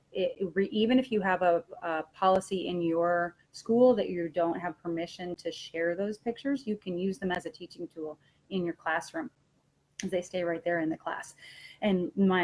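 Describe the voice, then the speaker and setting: A woman's voice: lowish voice, low-quality recording. A woman speaking as a teacher trainer in an informal webinar discussion, explaining in a conversational way.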